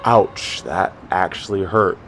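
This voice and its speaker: A man's voice: sits low, slow pace. A young man acting in an audio drama as an earnest, brooding vigilante superhero, self-serious and exasperated. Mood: defensive. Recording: music bed, some lines in reverb.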